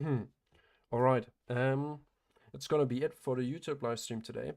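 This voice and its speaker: Male trading streamer, hoarse and gravelly, flat matter-of-fact delivery, frequent throat clearing. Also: mid-range voice, measured pace. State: hoarse, losing his voice. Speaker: day trader hosting a livestream. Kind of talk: live trading commentary.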